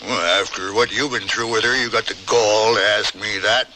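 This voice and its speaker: An actor playing a wounded, wry and kindly 61-year-old frontier uncle, with a rural Western American accent in a radio drama. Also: male voice, on the low side, fairly even intonation, moderate pace, lightly acted. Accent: rural American West. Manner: folksy, chuckling, homespun phrasing. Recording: noisy old radio broadcast recording.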